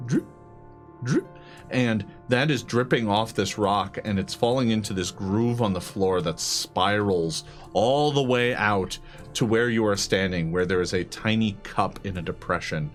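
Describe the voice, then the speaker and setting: A man acting as game master, improvising narration for a tabletop game in a halting, think-aloud way. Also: fairly low pitch, faint music behind.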